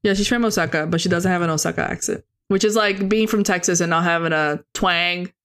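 A woman co-hosting a podcast in casual chat, talking fast and peppering her speech with "like". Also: on the low side, fairly bright tone, clean tone. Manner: chatty, heavy use of "like" as filler. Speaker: podcast co-host.

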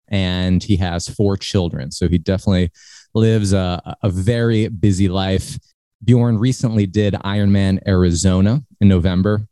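A male podcast host reads a measured introduction with occasional small restarts before moving into conversation. His voice is fairly low and mellow in tone, recorded close and dry with no room sound. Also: no room sound. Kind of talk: scripted intro then interview.

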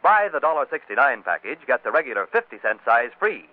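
An American man reading a 1940s radio commercial as an animated salesman, on a band-limited old recording. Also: medium pitch, a little fast, no room sound, phone-quality sound. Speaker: commercial announcer. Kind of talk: 1940s radio advertising pitch.

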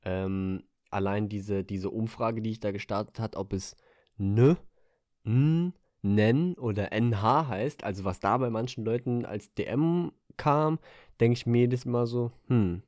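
A man's voice: on the low side, measured pace, a little mellow, no echo, studio quality. A man co-hosting a podcast in casual chat, rambling and hesitant, often circling back with fillers. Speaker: podcast co-host.

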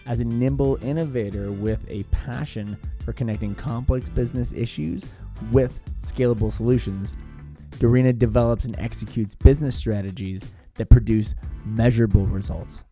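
A man's voice: mid-range voice, fairly even intonation, moderate pace, warm tone, faint music behind. A man hosting a podcast, upbeat and welcoming as he opens the show and introduces a guest. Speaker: podcast host.

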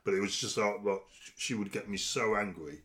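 A man, in a candid, earnest conversation, getting firm and louder when he presses a point. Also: normal speed. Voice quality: deep voice.

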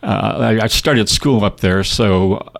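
A man's voice: on the low side, takes it slowly, vocal fry. An older man, an author guest with a slight Canadian accent on certain words, telling rambling, self-deprecating stories with many fillers in a library studio interview. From born in North Dakota, raised partly in Canada. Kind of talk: casual storytelling interview.

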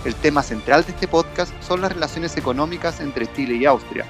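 A man's voice: fairly high pitch, fairly quick, over music. A male podcast host reading a formal, scripted introduction and asking the guest questions.

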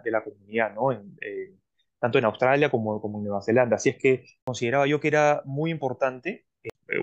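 A man with an ordinary mid-range voice hosts an interview, speaking in a measured way. He draws out his phrases and fills the pauses with frequent hesitations as he introduces his guest and puts questions to her. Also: mid-range voice, muffled recording. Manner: measured, many fillers and restarts, drawn-out phrases. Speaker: host.

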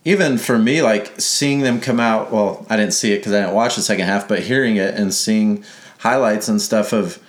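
Rambling low-voiced man from Mississippi. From Mississippi, United States. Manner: rambling, self-correcting, playful. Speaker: podcast co-host. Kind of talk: casual sports chat. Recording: echoey room.

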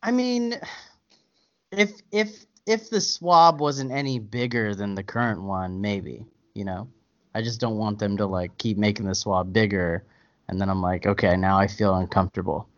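A man's voice: fairly low pitch, normal speed, lo-fi sound. A man chatting as a podcast guest, hesitant and stammering as he thinks aloud, chuckling often. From United States. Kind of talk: casual podcast banter.

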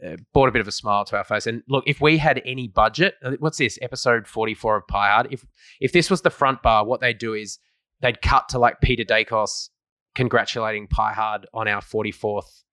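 A man's voice: on the low side, fairly quick, sharp tone, no echo. A man co-hosting a casual podcast, easygoing and joking, with mock-grand announcements of show segments. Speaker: podcast co-host.

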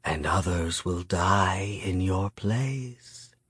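A man voicing a menacing female predator spirit in an audiobook, cold, mocking and contemptuous, with slow, drawn-out, purring delivery. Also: on the low side, lively intonation, husky, no echo, studio quality, heavily acted. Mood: cruel, predatory.

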